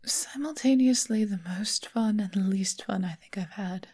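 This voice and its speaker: A woman voicing a refined, affectionate vampire mistress in a soft, breathy ASMR roleplay whisper, gentle and soothing. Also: mid-range voice. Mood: wistful, tender. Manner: gentle, unhurried, genteel, affectionate endearments. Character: caring, refined female vampire mistress, long-lived doting lover.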